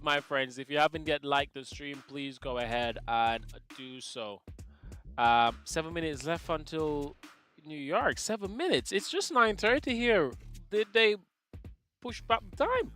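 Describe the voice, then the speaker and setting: A man's voice: on the high side, fairly bright tone. A man from Jamaica hosting a live trading stream, chatting casually and joking with viewers in the chat. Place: home setup, UK.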